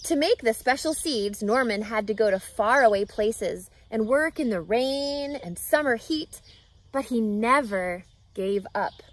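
A woman reads a children's story aloud, expressive and emphatic, addressing young listeners. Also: on the high side, unhurried. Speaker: reading teacher, storyteller. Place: outdoors in a grassy lakeside yard.

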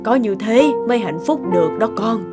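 A woman voicing a caring, shrewd older mother in a cartoon, chatty and gently admonishing, with loud music behind her. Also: a little high, lively intonation, normal speed, close to an everyday voice. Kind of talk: animated cartoon voice acting. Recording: loud background music over voice.

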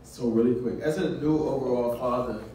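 A man's voice: mid-range voice, a little fast, dark tone, slight background noise. A man asking a direct, pressing follow-up question in a group discussion. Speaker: audience member asking a question. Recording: echoey room, from far off.

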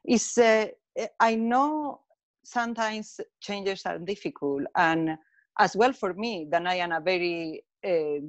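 A woman from Spain with a Spanish accent, speaking English as a second language, giving an earnest webinar talk on a video call with some halting phrasing. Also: medium pitch, no echo, dull audio. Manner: earnest, halting non-native phrasing with fillers. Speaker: webinar speaker, colour consultant. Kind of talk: online presentation.

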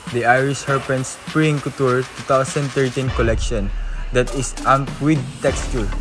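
A man reads a prepared voice-over about his couture look in a formal, recited way. His voice is of middle pitch. Music plays clearly under him. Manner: formal, read from a script. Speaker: model contestant. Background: background music.

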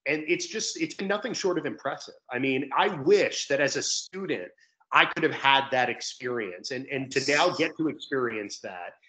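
A man's voice: mid-range voice, animated, normal speed, sharp tone, poor audio. Young man speaking earnestly and emphatically. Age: young adult. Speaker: sports radio play-by-play broadcaster, guest. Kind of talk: conversational interview answers. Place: indoor room at home, video call. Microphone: video call, close to webcam.

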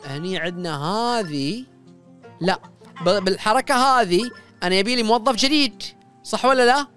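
A man's voice: high-pitched, moderate pace, fairly bright tone, faint music behind. A young man giving casual let's-play commentary, chatting to viewers and repeating short commands as he plays, on a close studio mic in a small home studio. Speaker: gaming YouTuber. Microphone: studio mic close up, wearing headphones.

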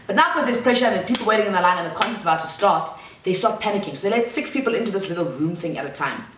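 Young woman, chatty storytime vlog, lowish voice, echoey room, distant camera. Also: slight background noise. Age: young adult. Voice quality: loud voice. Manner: animated, chatty, slangy storytelling. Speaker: vlogger. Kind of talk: casual storytime monologue. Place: large living room, seated on armchair. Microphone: fixed camera across the room. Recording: roomy echo from the mic's distance.

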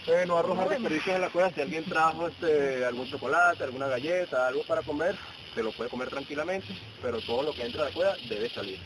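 Fast-talking young Venezuelan man, noisy recording. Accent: Venezuelan Spanish. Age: young adult. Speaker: cave guide. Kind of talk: informal safety briefing with anecdotes. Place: outdoors in a forest, by a signboard. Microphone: camera a few steps away. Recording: noisy low-quality outdoor camera audio.

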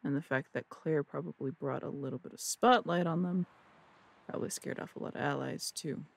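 A voice actor playing a sharp-tongued, no-nonsense detective in an audio roleplay drama, with a dry, businesslike manner. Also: female voice, low-pitched, a little fast, vocal fry, breathy edge, exaggerated voice. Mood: serious, businesslike.

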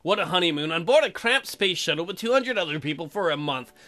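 Animated man doing irreverent game commentary. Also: fairly high pitch, quick pace, soft background music. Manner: joking, irreverent. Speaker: let's play host. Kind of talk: game commentary mixed with reading on-screen text aloud.

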